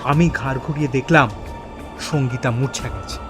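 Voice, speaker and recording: A man giving a dramatic first-person narration of a horror story, with suspenseful, deliberate phrasing. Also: medium pitch, over music.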